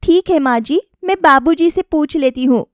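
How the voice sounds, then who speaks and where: A woman's voice: high voice, normal speed, no room sound, studio quality, close to an everyday voice. A woman voicing a wistful young daughter-in-law eager to please, earnest and plaintive, in a story. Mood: eager, dutiful.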